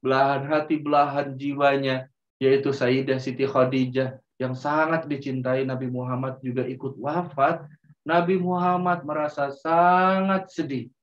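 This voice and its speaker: A man telling a story to children in a gentle, drawn-out way, often turning to them as friends. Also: mid-range voice, flat delivery. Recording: roomy, distant, dull sound.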